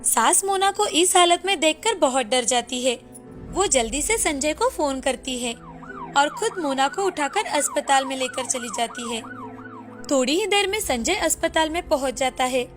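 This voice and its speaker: Woman telling a moral story, pretty high voice, scripted storyteller delivery. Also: expressive, moderate pace, soft background music. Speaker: story narrator.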